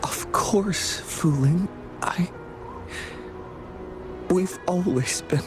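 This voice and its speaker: Earnest adventurer, music behind him. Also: male voice, high voice, extremely slow. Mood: tender, anguished. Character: earnest, devoted, remorseful male adventurer. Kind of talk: video game voice acting.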